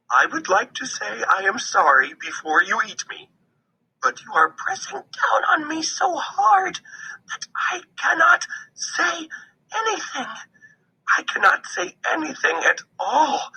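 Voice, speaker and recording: A man voicing a cheeky little male squirrel in a high, chirping storybook voice. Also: gravelly, sharp tone, heavily acted, rough recording.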